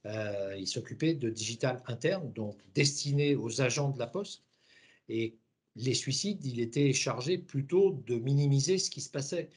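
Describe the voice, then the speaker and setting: A man moderating a video call discussion, asking careful, measured questions. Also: mid-range voice, breathy edge. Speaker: host of the discussion.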